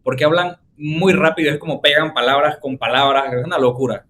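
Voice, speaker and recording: A man's voice: a little high, fairly even intonation, a little fast, fairly bright tone, slight background noise. A man with a Venezuelan accent, hosting a casual podcast chat and explaining his ideas with long everyday examples. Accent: Venezuelan Spanish. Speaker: podcast host.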